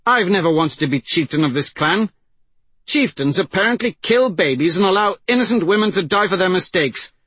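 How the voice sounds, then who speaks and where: A man's voice: on the high side, animated, moderate pace, a bit bright, breathy edge, no room sound, pitched above the performer's own voice. A man voicing a fierce, forthright woman in an audiobook, speaking urgently and emphatically. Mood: indignant. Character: fierce, indignant woman leading a rescue.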